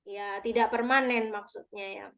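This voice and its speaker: A woman's voice: medium pitch, steady tone, normal speed, fairly bright tone. A woman from Madura teaching an online review session, explaining patiently and checking answers with the participants. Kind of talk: online exam review lesson.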